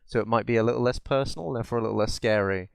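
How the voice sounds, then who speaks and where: A man with a fairly low, clear and resonant voice talks casually as a podcast co-host. He rambles through his thoughts in a conversational way. The sound is dry, with little room around him. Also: racing, no echo. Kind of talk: casual film chat.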